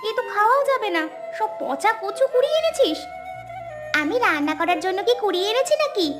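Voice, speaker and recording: A woman voicing a poor, earnest young village girl in a cartoon story, speaking in a plaintive, expressive way. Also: extremely high pitch, fairly quick, over music. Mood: animated. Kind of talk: Bengali cartoon story dubbing.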